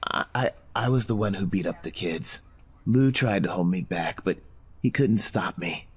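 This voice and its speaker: A man's voice: deep voice, normal speed, slightly rough. An actor playing a guilt-ridden, troubled teenage boy who pleads and stammers in a horror audio drama. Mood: guilty confession.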